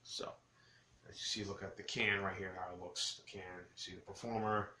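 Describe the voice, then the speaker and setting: A man hosting a casual, rambling beer review, speaking haltingly and often correcting himself. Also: sits low, little pitch movement, bright, forceful tone. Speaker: beer review vlogger, host. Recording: roomy echo with clear background noise.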